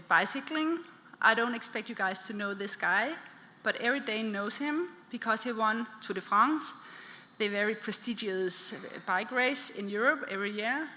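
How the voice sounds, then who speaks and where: A woman's voice: a little high, bright, forceful tone, a little hiss or hum. A woman speaking English with a Danish accent, a geneticist giving a plain, simple conference presentation. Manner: plain, simplifying explanations for lay listeners. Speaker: clinical geneticist panelist. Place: large conference hall. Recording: reverberant hall with faint ambience.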